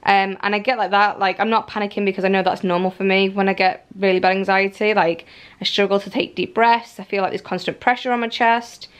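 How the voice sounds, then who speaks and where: A woman's voice: mid-range voice, steady tone, fairly quick, clear, resonant tone. A young woman in her twenties, talking to camera at home in a casual, rambling vlog, full of likes and ums. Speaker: vlogger. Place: living room at home, indoors.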